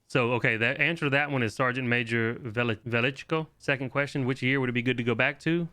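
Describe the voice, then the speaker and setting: Male gaming guide host, mid-pitched, bright forceful voice, casual walkthrough delivery, very dry close sound. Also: steady tone, quick pace, clean tone, no room sound. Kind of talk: casual game walkthrough commentary, reading game text aloud.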